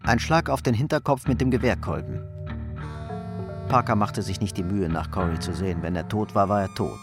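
A man narrating a crime radio play in a measured, suspenseful storytelling manner. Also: fairly low pitch. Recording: music behind the narration.

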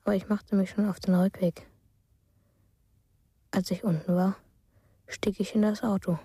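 A woman playing a quiet, dreamy narrator of a child's strange stories in a radio drama, husky, soft and unhurried. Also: mid-range voice, flat delivery, no echo, studio quality, lightly acted. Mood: dreamy, detached. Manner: simple, unhurried, matter-of-fact. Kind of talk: slow storytelling in a radio drama.

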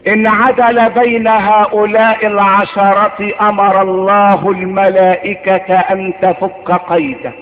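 A man's voice: high voice, slight background noise. A man with an Egyptian accent delivering an impassioned sermon, emphatic and declamatory, repeating phrases for effect. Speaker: preacher. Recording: phone-like band, long hall reverb.

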